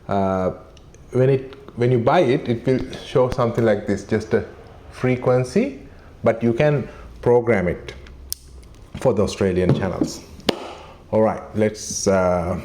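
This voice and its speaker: A man giving a casual, low-key and somewhat hesitant unboxing and installation walkthrough. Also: unhurried, dark tone. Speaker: vlogger demonstrating a product. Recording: clearly noisy, vehicle ambience.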